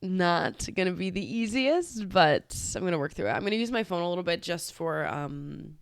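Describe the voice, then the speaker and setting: A woman's voice: fairly low pitch, expressive, moderate pace, vocal fry. A woman hosting a podcast, speaking casually and hesitantly with many fillers and restarts. She sounds nasal from a cold and talks from her room at home. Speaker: podcast host. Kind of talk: casual confessional podcast talk.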